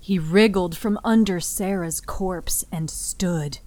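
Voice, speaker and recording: A woman giving a dramatic scripted narration of a horror story in a grave, breathy manner, often dropping to a near whisper. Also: fairly low pitch, slow pace, a little mellow.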